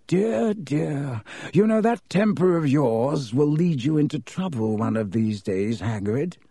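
A man voicing a haughty, sneering aristocratic villain in an audiobook, with a lazy, smooth, mock-polite drawl. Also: fairly high pitch, animated, unhurried, warm tone, no echo, studio quality, exaggerated voice. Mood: smooth, menacing. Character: haughty aristocratic middle-aged male villain, coolly sneering.

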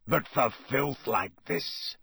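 A man in a storybook reading, voicing a gruff, sardonic veteran wizard lawman in a growling mutter. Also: medium pitch, expressive, very slow, no room sound, exaggerated voice. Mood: muttered contempt.

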